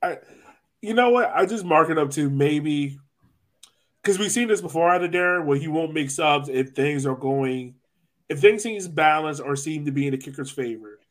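A man's voice: on the high side, moderate pace. A man hosting a soccer podcast, chatting casually about the sport.

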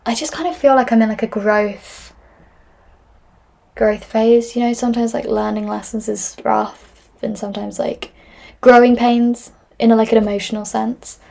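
A woman's voice: fairly high pitch, level tone, moderate pace, creaky, mellow. A woman, hosting a podcast in casual solo chat, rambling with many fillers and frequent laughs. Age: 20s. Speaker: podcast host. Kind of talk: casual solo chat answering listener questions.

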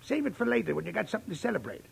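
Feisty old eccentric, folksy, heavily creaky, swooping pitch, vintage radio drama. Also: male voice, big pitch swings, rapid-fire, full character voice. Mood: chatty, eager. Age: 70s. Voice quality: raspy, creaky. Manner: folksy, brash, chatty. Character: feisty old man in a wheelchair, eccentric butterfly collector. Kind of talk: 1940s radio acting. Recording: vintage 1940s radio recording.

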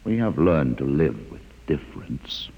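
An actor playing a calm, educated male mutant leader in a 1950s radio drama, measured and quietly ironic. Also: extremely low pitch, husky, phone-quality sound, heavily acted. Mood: calm, wry. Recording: old radio drama with long reverb.

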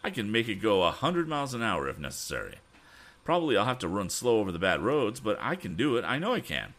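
A man voicing a bold, earnest young male inventor in a storybook, speaking in a quick, confident, ringing way. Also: on the low side, very animated, a bit bright, pitched above the performer's own voice, exaggerated voice. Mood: determined. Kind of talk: audiobook character voice.

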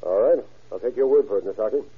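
Man acting a low-voiced, blunt undercover agent. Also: fast, close to an everyday voice. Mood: wary, impatient. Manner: blunt, businesslike. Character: brisk, wary undercover agent posing as drug buyer. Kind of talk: 1940s radio acting. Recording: tinny, hissy old radio recording.

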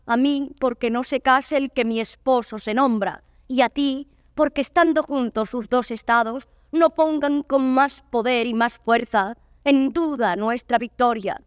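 A wronged young noblewoman played by an actress who pleads for her honor with pride and passion. Her high female voice is very smooth and resonant. She declaims stage verse, recorded dry and close. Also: unhurried, few pauses, dry recording, natural voice. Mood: pleading, fervent. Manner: declamatory, impassioned. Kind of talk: classical Spanish verse stage acting.

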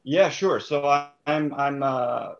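A man's voice: medium pitch, unhurried, vocal fry. Hesitant, thoughtful young man, lo-fi sound. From Serbia. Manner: thoughtful, hesitant, many fillers and restarts. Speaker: guest. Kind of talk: reflective interview answers.

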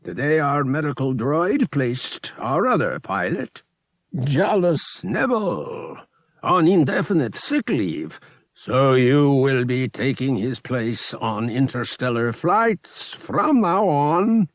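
Picture an imperious alien high priest from an audiobook space adventure, acted out by a man. He speaks slowly and formally, stretching his phrases with commanding deliberation. His pitch swells and swoops well above a plain narrating voice. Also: very animated, no echo, studio quality. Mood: smooth command. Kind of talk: audiobook character voice.

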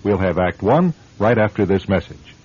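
A man narrating a scripted radio suspense drama as its host, in a dramatic, measured manner. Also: sits low, lively intonation, darkish tone, slightly rough. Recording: dull radio recording.

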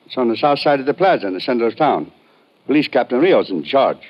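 An actor playing an effusive, protective middle-aged Portuguese uncle in an old radio drama, with formal, foreign phrasing. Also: male voice, mid-range voice, very animated, fast talker, heavy background noise, natural voice. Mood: warmly effusive. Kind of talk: 1950s radio drama acting. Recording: muffled old radio recording.

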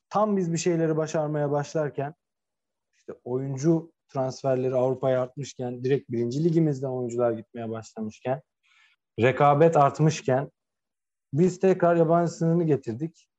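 A slightly higher-pitched man with a soft, mellow tone co-hosts a football talk show. He argues his opinions in a casual, emphatic way, often restating his points. The sound is somewhat muffled and lo-fi. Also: normal speed. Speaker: football podcast co-host. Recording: dull, lo-fi sound.

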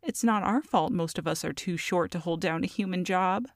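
A woman's voice: fairly low pitch, moderate pace, dry recording, clean studio sound, close to an everyday voice. A woman voicing a feisty, scheming goblin mother in an audio roleplay, sassy and haughty as she talks to a captive. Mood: defensive, taunting. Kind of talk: ASMR audio roleplay monologue.

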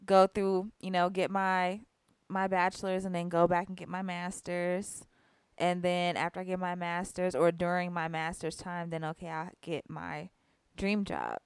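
Rambling young woman, low and smooth. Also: fairly even intonation, measured pace, no echo, clean studio sound. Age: 20s. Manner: rambling, lots of "like" and "you know". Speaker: podcast co-host. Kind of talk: casual chat.

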